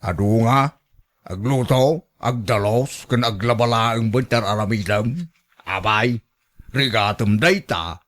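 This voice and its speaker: A man's voice: on the low side, slow, a bit bright. A man acting a bossy, scolding Ilocano husband. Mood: scolding, dismissive. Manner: domineering, nagging. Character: bossy, scolding husband who orders his wife around. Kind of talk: Ilocano radio drama acting.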